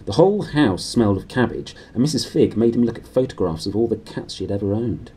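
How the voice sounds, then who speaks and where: Husky British man reading a story. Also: on the low side, expressive, fast, dark tone. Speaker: reader. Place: small room indoors.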